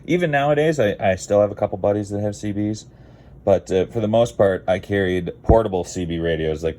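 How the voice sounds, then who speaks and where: A man's voice: sits low, dark tone, a little hiss or hum. A Canadian man giving a casual, rambling how-to vlog in a laid-back, blunt, digressive way. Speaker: car video creator.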